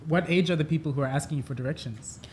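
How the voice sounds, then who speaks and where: A woman's voice: low-pitched, very fast, a little mellow, slight background noise. A woman hosting a talk show, friendly and encouraging with her guests. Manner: friendly, encouraging, frequent "mm-hmm". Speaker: host.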